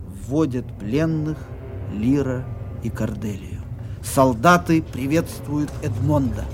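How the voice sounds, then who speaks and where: A man's voice: mid-range voice, takes it slowly, heavy background noise. A man narrating scene settings for an audio drama in a scripted, announcing style. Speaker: narrator reading stage directions. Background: prominent music.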